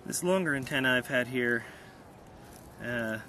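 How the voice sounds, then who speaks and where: A man's voice: mid-range voice, a little slow, pushed and bright, a little hiss or hum. A man speaking casually outdoors as an amateur radio operator, narrating and making calls with hesitant fillers. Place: outdoors on a hillside.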